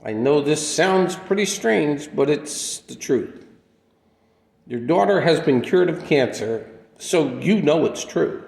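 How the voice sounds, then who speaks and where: A man playing an aging, modest, wistful drifter in a radio play, speaking in a halting, plainspoken, reflective way. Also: a little high, lively intonation, creaky, a little mellow, full character voice. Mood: wistful, puzzled. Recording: echoey hall-like reverb.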